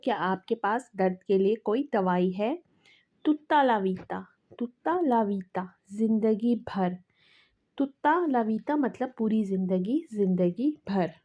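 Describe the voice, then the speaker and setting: A woman teaching language phrases. She says each phrase very slowly, repeats it, then explains what it means. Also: mid-range voice. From Pakistan. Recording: dull lo-fi recording.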